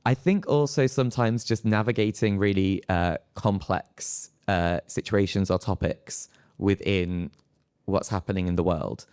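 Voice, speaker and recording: Smooth, low-voiced man, halting delivery. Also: darkish tone. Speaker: panellist, university academic. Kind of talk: live panel discussion.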